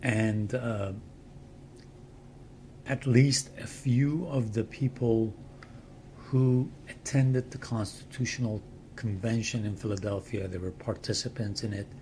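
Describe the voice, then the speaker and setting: An older man with a Persian accent talks in a slow, unhurried way. His voice sits fairly low, and he gives measured explanations with pauses between phrases. A faint hum of room ambience runs behind him. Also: steady tone, a little mellow, low-quality recording. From Iran. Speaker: channel host and translator. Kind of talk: slow explanatory talk to camera.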